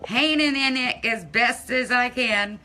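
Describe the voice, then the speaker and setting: A middle-aged woman from Massachusetts with a non-rhotic local accent, chatting casually on a phone live stream from her home, loud and talking to viewers by name. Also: on the high side, measured pace. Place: home, by a window. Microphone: phone held at arm's length.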